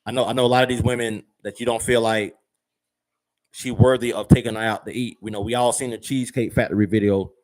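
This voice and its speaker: A man giving viewers casual travel advice, rambling with fillers and repeated words and using slang. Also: fairly low pitch. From United States. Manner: uses slang, addresses viewers as fam and y'all. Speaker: travel vlogger.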